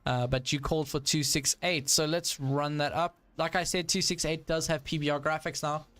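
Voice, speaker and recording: A man's voice: fairly high pitch, steady tone, normal speed, fairly bright tone. A young man with a South African accent, casually commentating a live stream of his tank game and greeting viewers in chat, with plenty of ums and restarts. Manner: casual, thinks aloud, many ums and restarts.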